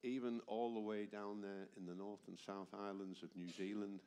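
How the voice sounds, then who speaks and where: Deep-voiced older preacher. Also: male voice, little pitch movement, normal speed, warm tone. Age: 70s. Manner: emphatic, with rhetorical questions to the congregation. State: tired voice from a heavy cold. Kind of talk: sermon. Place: church hall with a congregation. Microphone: amplified microphone.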